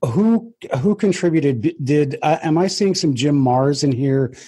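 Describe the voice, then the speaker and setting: Male interviewer. Husky, dark-toned voice, medium pitch, hesitant phrasing with frequent pauses as he builds to questions. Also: lively intonation. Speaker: interviewer, host.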